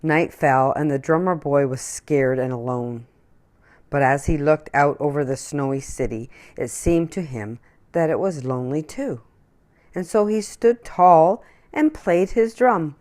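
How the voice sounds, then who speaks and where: A woman reading a children's picture book aloud in an expressive storytelling manner. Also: low-pitched, measured pace.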